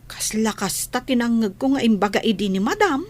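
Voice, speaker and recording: A high female voice , a woman acting as a deferential employee in a radio play. She sounds sympathetic and attentive to her boss. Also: expressive, fairly quick, darkish tone. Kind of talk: Ilocano radio drama acting.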